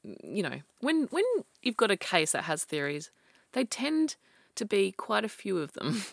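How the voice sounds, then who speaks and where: A woman co-hosting a podcast, telling a true crime story in casual chat with a slightly croaky voice and frequent laughs. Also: medium pitch, sing-song, a little slow, no echo, studio quality. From Australia. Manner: chatty storyteller, laughs often, restarts sentences.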